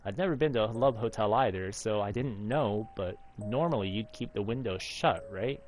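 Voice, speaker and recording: A man's voice: on the low side, moderate pace. A man in his thirties, a streamer reading a visual novel's story text aloud as narration. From United States, Alaska. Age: 30s. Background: faint game music.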